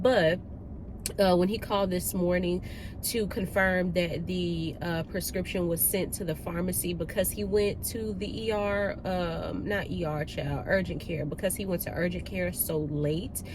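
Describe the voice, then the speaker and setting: A woman with a nasal, stuffed-up voice from a cold, chatting informally and slangily to viewers as a vlog host. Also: fairly low pitch. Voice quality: nasal, congested. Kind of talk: casual chat to viewers. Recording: noisy car ambience.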